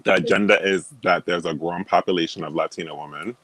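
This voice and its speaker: A man's voice: sits low, steady tone, fast, fairly bright tone, no echo, low-quality recording. A man talking as a guest on a video call, stating firm opinions with many fillers and laughing at himself. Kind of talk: casual opinionated chat.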